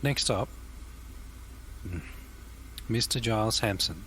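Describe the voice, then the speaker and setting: An actor playing a kind, formal old-school gentleman who chairs a meeting politely in an audio drama. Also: on the low side, takes it slowly, slight background noise. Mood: genial, formal.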